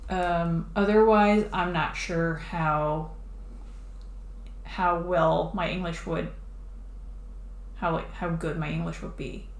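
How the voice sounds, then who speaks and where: A woman's voice: fairly low pitch, steady tone, slow pace, pauses often. A woman streaming live while drawing a comic, chatting casually and rambling, with many fillers and restarts. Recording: echoey room, faint background noise.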